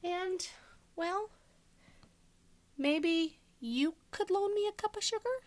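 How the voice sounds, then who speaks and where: A woman's voice: extremely high pitch, slow pace, pitched above the performer's own voice. A woman voicing a self-justifying storybook wolf who pleads his innocence in an exaggerated, mock-innocent way. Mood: aggrieved, pleading. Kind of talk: animated children's storybook read-aloud.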